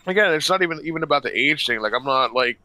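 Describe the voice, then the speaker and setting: A man's voice: a little high, lively intonation, fast, pushed and bright. A man chatting casually in a blunt, profane, slangy way, rambling through his opinions.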